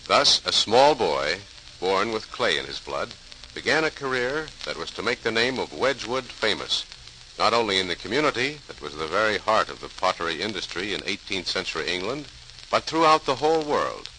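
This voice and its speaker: A man's voice: fairly low pitch, sing-song, normal speed, sharp tone, rough recording. A man narrating a scripted 1940s radio program in a formal, dramatic announcer style. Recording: hissy 1940s radio recording.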